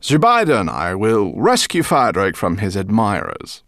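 Man doing a brisk, cheerful old professor, gravelly. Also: fairly low pitch, huge pitch swings, a bit bright, no echo, clean studio sound, full character voice.

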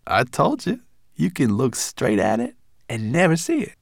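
A man voicing a brusque, furry male mountain creature who talks high and impatiently. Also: huge pitch swings, moderate pace, gravelly, dry recording, studio quality. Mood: sly.

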